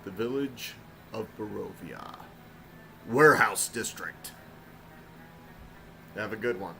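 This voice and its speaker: A man talks loosely over his game stream, drifting from thought to thought with plenty of fillers. His voice is bright and forward on a desk mic. A faint hum sits underneath. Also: on the low side, takes it slowly, gravelly, poor audio. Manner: rambling, relaxed, frequent "uh" fillers. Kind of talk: casual live-stream commentary. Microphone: desk microphone.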